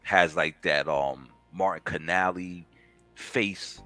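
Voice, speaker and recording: A man with a very low, deep voice rambles enthusiastically through a casual live-stream chat about collectible statues. He leans on fillers and trails off mid-thought. Faint music plays underneath. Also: slow, pushed and bright.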